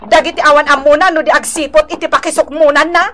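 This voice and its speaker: A woman's voice: high-pitched, steady tone, fast talker, fairly bright tone, slight background noise. A woman playing a bitter, sarcastic wife and mother of a poor family in an emphatic radio drama. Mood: fed up, reproachful.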